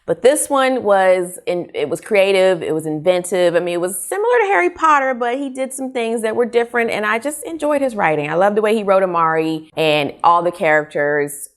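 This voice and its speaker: A middle-aged American woman talking casually and candidly to camera as a book vlogger, with a conversational, opinionated delivery. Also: lowish voice. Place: small furnished room at home, indoors.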